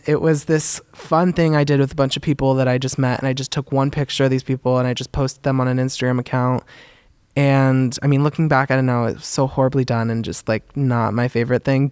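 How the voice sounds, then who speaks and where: A man's voice: medium pitch, a little mellow, clean tone, dry recording, studio quality. A young man, a photographer, answering onstage interview questions in an earnest way with many "um"s, in a voice he calls feminine. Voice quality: describes his own voice as feminine. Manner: earnest, many "um"s and "kind of", laughs often. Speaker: guest, photographer and zine curator. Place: theater stage.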